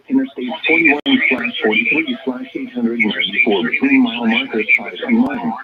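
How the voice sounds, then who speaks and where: A synthetic American male announcer voice comes through a dull, lo-fi weather radio. He reads scripted bulletins formally and impersonally in segmented phrases, with a pitch that swings noticeably up and down. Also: creaky. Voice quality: synthetic text-to-speech voice. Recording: dull, band-limited weather radio broadcast.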